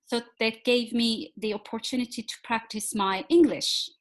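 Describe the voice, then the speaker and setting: Woman, fairly high voice. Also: fairly even intonation. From Turkey. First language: Turkish. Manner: thoughtful, frequent filler pauses. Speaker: guest, executive coach. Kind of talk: podcast interview.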